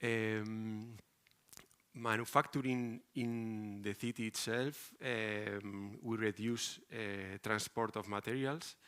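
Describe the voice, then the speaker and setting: Slow-spoken man with strong Spanish accent. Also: lowish voice, steady tone, a bit bright, smooth. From Spain. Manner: halting, hesitant with frequent pauses. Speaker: architect presenting a project. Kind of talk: conference presentation.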